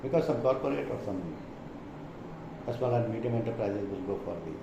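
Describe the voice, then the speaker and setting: Male trainer, Indian accent, didactic explanatory delivery, captured in a reverberant room with clear noise. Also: lowish voice, a little fast, muffled recording. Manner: didactic, reading points then explaining them. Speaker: insurance trainer. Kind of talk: explanatory product presentation.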